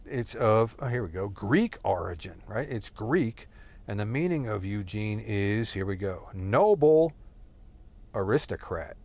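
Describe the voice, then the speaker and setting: A man's voice: fairly low pitch, expressive, a little slow, a little mellow. A man from Texas talking in a rambling, profane monologue full of fillers and digressions. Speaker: solo talker.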